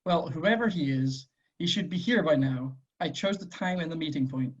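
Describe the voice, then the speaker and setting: A man's voice: on the high side, lively intonation, normal speed, breathy edge. A man playing a weary, scowling hitman in his forties at an amateur table read, terse, wary and testy. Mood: puzzled, subdued. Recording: dull lo-fi voice recording.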